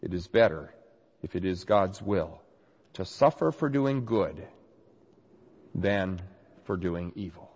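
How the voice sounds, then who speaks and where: A male pastor getting over a cold delivers an earnest sermon, his voice slightly nasal and raspy. He speaks slowly, with a pitch that swings animatedly on his points. The recording is dull and muffled, with long reverb from the hall around him. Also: expressive, a little mellow. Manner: earnest, emphatic preaching. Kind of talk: sermon with scripture reading.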